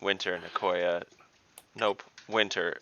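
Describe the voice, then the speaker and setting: A man's voice: deep voice, steady tone, slow pace, fairly bright tone, lo-fi sound, a little hiss or hum. A man playing an online tabletop roleplaying game, chatting casually in a teasing, joking way. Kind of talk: casual playful in-game chat.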